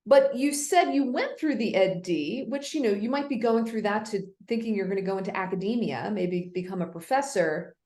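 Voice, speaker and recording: A woman's voice: mid-range voice, lively intonation, normal speed, hardly any pauses, darkish tone. A woman hosting an interview, putting casual questions to her guest with short affirmations and some restarts. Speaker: interview host. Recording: echoey room.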